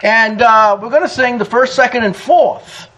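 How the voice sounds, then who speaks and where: A middle-aged man preaching a sermon in a church, emphatic, with quick chuckling asides. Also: extremely high pitch, very noisy. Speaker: pastor. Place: church sanctuary.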